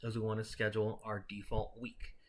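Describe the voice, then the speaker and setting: A man presenting a software tutorial in a conversational, explanatory way, taking the listener through it step by step. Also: on the low side, completely flat delivery, a little fast, slight background noise. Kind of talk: casual step-by-step software walkthrough.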